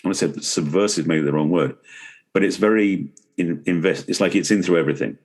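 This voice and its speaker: Deep, husky, quick-talking middle-aged man. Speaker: podcast guest, relationship expert and author. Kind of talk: conversational interview explanation.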